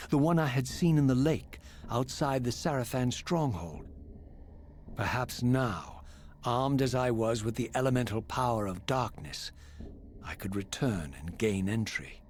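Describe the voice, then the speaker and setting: A man's voice: medium pitch, a little mellow, a little hiss or hum, lightly acted. A man playing a tormented, eloquent vampire wraith in a video game, narrating with grave, formal, theatrical diction. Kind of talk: dramatic scripted game narration.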